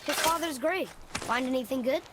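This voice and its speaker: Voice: high-pitched, normal speed, heavy background noise, natural voice. A young performer playing a curious, earnest boy in a video game, inquisitive and unguarded. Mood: questioning. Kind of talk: video game cutscene acting.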